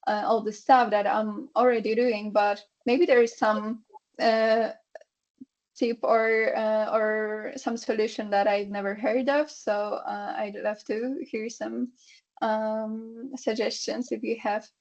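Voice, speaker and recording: A woman's voice: a little high, level tone, slow, darkish tone. A woman whose first language is Polish asks a question at a workshop, hesitant and full of fillers. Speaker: workshop participant. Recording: dull lo-fi audio.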